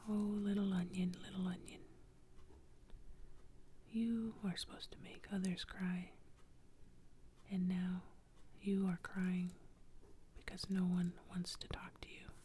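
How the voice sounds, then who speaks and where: A woman's voice: on the low side, flat delivery, slow pace, pauses often, warm tone, slight background noise. A woman voicing a kind, friendly male jalapeno in a storybook, speaking in a reassuring whisper. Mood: comforting.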